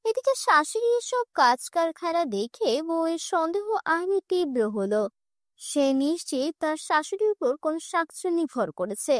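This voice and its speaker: A woman storyteller with a very high, youthful-pitched voice narrates a scripted cartoon folk tale. The recording is dry and close, with no room sound. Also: expressive, moderate pace, no room sound.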